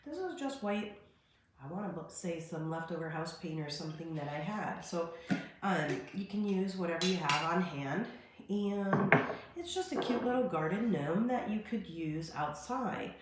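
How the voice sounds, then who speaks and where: Stuffy-nosed woman in her 40s doing a chatty diy demo at the kitchen counter, lowish voice, very echoey room, faint hum. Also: animated, moderate pace. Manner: chatty, filler-heavy, friendly how-to talk. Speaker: craft demo host. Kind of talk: casual craft tutorial. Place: home kitchen, indoors. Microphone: phone camera on a stand at the counter.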